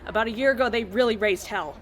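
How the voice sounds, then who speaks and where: A woman acts a hostile female enforcer in a wasteland audio drama. Her voice sits fairly high, and she speaks with sneering authority, accusing and suspicious of outsiders. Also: fast, faint music behind, close to an everyday voice. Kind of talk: post-apocalyptic audio drama acting.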